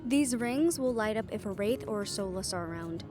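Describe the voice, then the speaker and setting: A woman's voice: medium pitch, lively intonation, fairly quick, close to an everyday voice. A woman playing a witty, confident young female adventurer in a playful, conversational audio roleplay. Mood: earnest. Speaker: amateur voice actor. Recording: music behind the voice.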